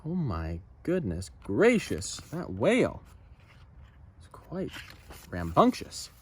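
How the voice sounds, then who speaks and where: A man reading a children's story aloud expressively, with dramatic pauses and asides to listeners. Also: medium pitch, wildly swooping pitch, slightly rough. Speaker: storybook reader.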